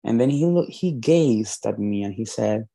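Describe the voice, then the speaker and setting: A man's voice: on the low side, expressive, normal speed, gravelly, warm tone. A man from Peru with a Peruvian Spanish accent, a guest on a podcast, telling his story earnestly and often restarting his sentences. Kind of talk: podcast interview.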